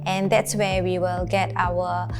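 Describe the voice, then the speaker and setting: A young woman with a Singaporean accent explains her work as an air traffic controller in an interview, in measured phrases with frequent pauses. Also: lowish voice, level tone, darkish tone, faint music behind.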